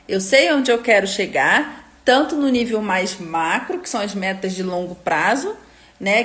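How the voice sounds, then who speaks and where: A woman's voice: medium pitch, fairly bright tone. Carioca woman teaching with tag questions. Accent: Carioca Brazilian Portuguese, softened. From Rio de Janeiro, Brazil. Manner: explanatory, frequent tag questions. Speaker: educator and course host. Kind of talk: live online teaching talk.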